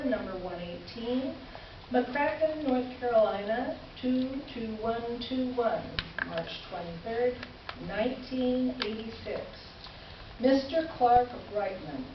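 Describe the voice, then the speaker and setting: A woman playing an exasperated, sardonic letter writer in a staged reading, with a measured, dry, indignant delivery. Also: on the high side. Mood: dry indignation. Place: live stage reading in a room, amplified. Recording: roomy, distant, muffled, slightly noisy live recording.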